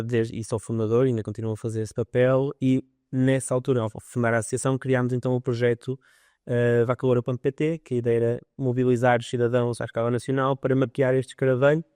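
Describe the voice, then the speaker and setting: Fast-talking young man. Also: medium pitch, darkish tone, clear, resonant tone, no echo, clean studio sound. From northern Portugal. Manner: eager, detailed storytelling. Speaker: guest biologist. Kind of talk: podcast interview.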